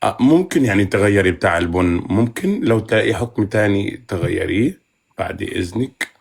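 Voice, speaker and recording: A man's voice: fairly low pitch, expressive, brisk, vocal fry, breathy edge. A man hosting a casual live chat in banter, teasing, bargaining and often repeating himself. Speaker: live stream host.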